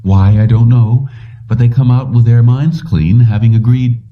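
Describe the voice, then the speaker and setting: A man voicing a brisk, patient and matter-of-fact young woman paymaster in an audiobook story. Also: medium pitch, distant mic, phone-quality sound, voice pushed up, lightly acted. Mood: insistent, reassuring.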